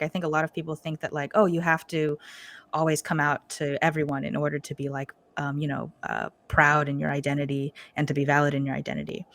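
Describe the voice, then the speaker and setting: A young woman with an American accent talks casually as a podcast guest, her voice sitting low. She fills her sentences with ums and light laughs. The recording is dry, with almost no room sound. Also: no room sound. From United States. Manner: relaxed, many fillers, laughs often. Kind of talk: casual interview chat.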